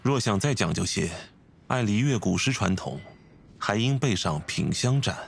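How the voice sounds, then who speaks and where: A man voicing a refined, composed, scholarly gentleman in a video game, speaking formally and courteously with measured phrasing. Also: fairly low pitch, lively intonation, gravelly, slight background noise. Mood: instructive. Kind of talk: video game character acting.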